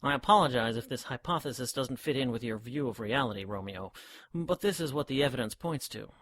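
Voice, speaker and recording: An actor playing a bookish, rational gentleman scientist in an audio drama, speaking in a formal, precise, pedantic manner. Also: medium pitch, brisk, no room sound, natural voice. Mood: earnest, lecturing. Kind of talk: scripted audio drama dialogue.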